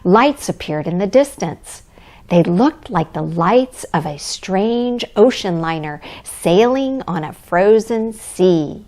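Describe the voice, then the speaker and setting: A woman plays Santa's kindly wife as a storybook narrator for young children. The delivery is gentle and cheerful, taken at a leisurely pace. The melody rises and falls with plenty of expression. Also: mid-range voice, lively intonation, takes it slowly. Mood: gentle, wondering. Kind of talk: children's storybook read-aloud.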